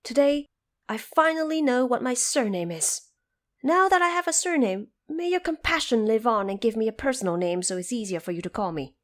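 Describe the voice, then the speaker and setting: A woman playing an eager, naive male monkey king in an audio drama, earnest and excitable. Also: on the high side, brisk, voice pushed up. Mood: overjoyed.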